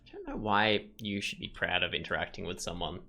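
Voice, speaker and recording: A man doing casual let's play commentary, thinking aloud in a halting way as he goes. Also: sits low, huge pitch swings, sharp tone. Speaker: gaming video creator.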